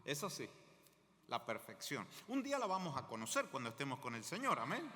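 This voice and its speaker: A man's voice: medium pitch, wildly swooping pitch, a little fast, bright, forceful tone, big-room sound. An older man from Mendoza with an Argentine accent, preaching a sermon as a guest speaker in a conversational way, often asking the congregation questions.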